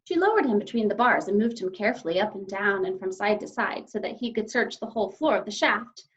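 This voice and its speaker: A woman teacher reading a children's story aloud and explaining it to young pupils in an expressive way. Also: medium pitch, fast talker. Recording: slightly dull, lo-fi sound.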